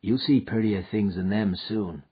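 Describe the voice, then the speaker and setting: A man playing a weary, husky frontier wanderer. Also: on the low side, unhurried, lo-fi sound. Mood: gentle. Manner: rustic frontier dialect, soft and patient.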